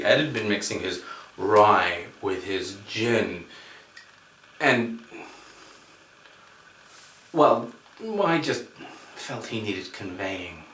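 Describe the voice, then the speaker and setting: A man performing a stage monologue as a gentle, dreamy, friendly bar-goer who speaks haltingly and rambles. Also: medium pitch, slight background noise. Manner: hesitant, rambling, halting with repeated words. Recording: echoey room, distant microphone.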